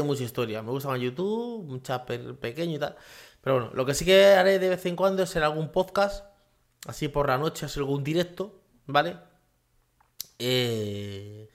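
A man hosting a casual solo podcast from his home office, rambling with many fillers and tag questions. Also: medium pitch. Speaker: podcast host.

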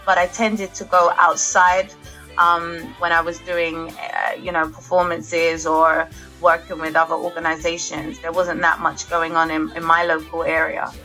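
A woman's voice: sits low, level tone, a little slow, sharp tone, faint music behind, poor audio. A young woman, a singer chatting casually as an interview guest, with lots of fillers and laughs. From England. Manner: chatty, laughs often, many fillers and restarts.

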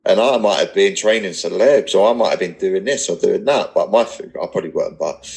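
A man's voice: low-pitched, brisk, creaky, a little mellow, rough recording. A man in his thirties with an unposh English accent, talking candidly as a podcast guest in an earnest, rambling, blunt way. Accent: English, not posh. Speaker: podcast guest, personal trainer business owner. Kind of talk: casual podcast interview, candid talk.

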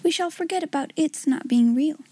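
An imaginative young girl, voiced by a woman reader, talks in a high, mellow voice. Her manner is dreamy and grandly polite, like a storybook child playing princess. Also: brisk, no echo, natural voice. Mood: eager.

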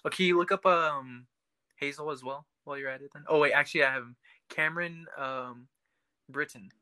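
A podcast panelist with a very bright voice talks casually about television. The delivery is informal and enthusiastic, and the sound is dry and close. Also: medium pitch, moderate pace, no room sound. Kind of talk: casual chat about a TV show.

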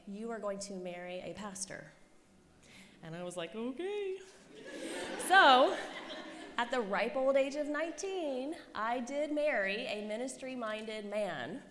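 A woman in her forties from the United States, giving a chatty, self-deprecating conference sermon to a live audience, often checking in with "right?" and "okay?". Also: medium pitch. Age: 40s. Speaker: conference speaker, pastor's wife. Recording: reverberant hall, faint room noise.

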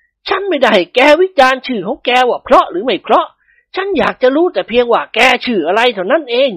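A woman voicing a stern, easily riled older male nobleman who barks and shouts in bursts. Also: fairly high pitch, big pitch swings, quick pace, dry recording, pitched above the performer's own voice. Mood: gruff. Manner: gruff barking, shouting in bursts.

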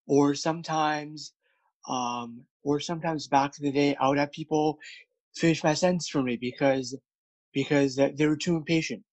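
A man's voice: fairly high pitch, steady tone, normal speed, a little mellow, no echo, highs cut off. A man who stutters, with repeated words and many fillers, speaking candidly as a guest about his work as a speech coach. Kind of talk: candid interview conversation.

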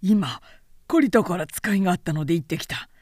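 A woman's voice: on the low side, expressive, fairly quick, darkish tone, no room sound, studio quality. A woman voicing an agitated male samurai colleague in an audiobook, questioning in an urgent, blunt yet formal manner. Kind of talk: literary audiobook character reading.

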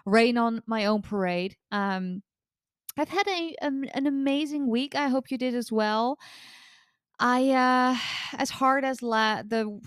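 Nasal woman, smooth and dry. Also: mid-range voice, dry recording. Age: 30s. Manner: chatty, rambling with frequent restarts and self-corrections. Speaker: podcast host and recovery coach. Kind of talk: casual solo podcast chat.

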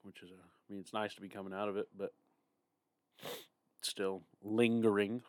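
A man's voice: on the low side, little pitch movement, moderate pace, darkish tone, no echo. A young man getting over a cold, chatting casually as a podcast co-host. He is tired, yawns, rambles and laughs often. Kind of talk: casual chat.

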